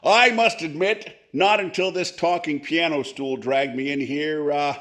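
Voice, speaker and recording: A man's voice: on the high side, measured pace, a bit bright. A man playing a pompous, boozy old movie comedian, grandiloquent with muttered asides, in 1940s radio comedy style. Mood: pompous, oily.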